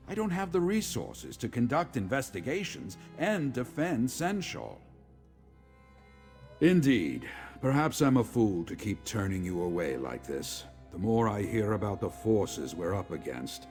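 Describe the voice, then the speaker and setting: Weary commander, gravelly and expressive. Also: male voice, fairly low pitch, exaggerated voice. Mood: grim, weary. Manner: measured, formal, authoritative. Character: weary, dutiful middle-aged male legion commander. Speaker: game character, military commander. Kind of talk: scripted video game dialogue.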